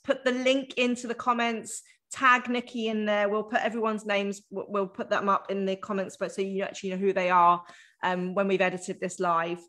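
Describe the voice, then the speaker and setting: A woman's voice: fairly high pitch, fairly bright tone. A woman hosting a live networking video call, upbeat and encouraging. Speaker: host.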